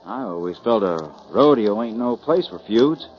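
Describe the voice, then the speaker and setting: A man's voice: on the low side, animated, normal speed, close to an everyday voice. An actor playing a folksy, earnest male rodeo cowboy, homespun and hesitant in 1940s radio style. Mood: friendly, uneasy. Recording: dull, muffled 1940s radio recording.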